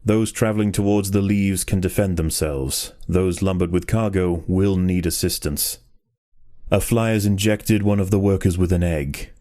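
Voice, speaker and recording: A man performing a solemn nature documentary narrator for a game, measured and ominous in delivery. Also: low voice, fairly even intonation, mellow, no room sound, clean studio sound, natural voice. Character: grave nature documentary narrator of ant wars.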